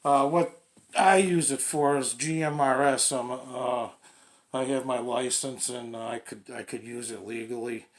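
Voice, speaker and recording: A man showing products casually and unscripted, in a plain, folksy, halting way. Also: mid-range voice, steady tone. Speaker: radio hobbyist presenting product reviews.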